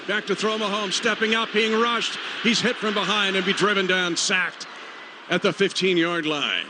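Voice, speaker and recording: A man's voice: high voice, steady tone, normal speed. An American man calling live football play-by-play on the radio in clipped, urgent phrases. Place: stadium broadcast booth. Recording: noisy live stadium radio broadcast.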